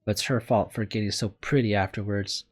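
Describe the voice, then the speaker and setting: Man reading as a glum, self-doubting young woman teacher, low-voiced. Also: steady tone, a little fast, lightly acted. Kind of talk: visual novel read aloud. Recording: background music under the voice.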